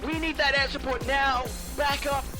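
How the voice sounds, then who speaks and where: A woman's voice: high voice, steady tone, moderate pace, over music, natural voice. A woman playing an urgent enemy commando giving clipped orders in a tabletop roleplay game. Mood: alarmed, commanding. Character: urgent enemy commando calling for air support.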